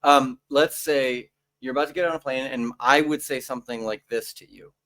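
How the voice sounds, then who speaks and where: A man's voice: medium pitch, steady tone, moderate pace, fairly bright tone. A young man hosting a casual podcast chat, joking and playful, often stumbling and restarting his words. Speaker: podcast host.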